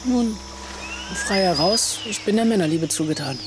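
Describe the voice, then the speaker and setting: An actor in a German radio play playing a fanatical, lustful, antisemitic man who declaims self-importantly with hesitant pauses. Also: high-pitched, lively intonation, background noise. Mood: exalted. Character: fanatical, lustful antisemitic man, preaching free love among men.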